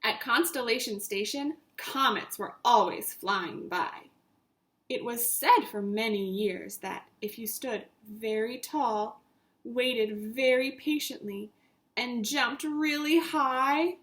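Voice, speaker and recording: A woman's voice: high voice, slow pace. A woman teacher reading a story aloud to young children, with simple, instructive phrasing and repetition.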